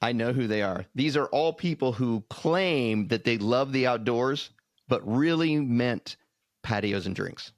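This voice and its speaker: A man's voice: medium pitch, lively intonation, measured pace, no echo, clean studio sound. A man co-hosting a podcast in casual chat, with a folksy storytelling manner. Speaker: podcast co-host.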